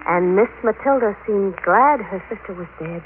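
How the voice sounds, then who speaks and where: A woman's voice: medium pitch, lively intonation, normal speed, lightly acted. An actress playing a refined, uneasy young society woman who accompanies an amateur sleuth in a 1940s radio mystery. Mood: concerned. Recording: noisy, tinny vintage radio broadcast.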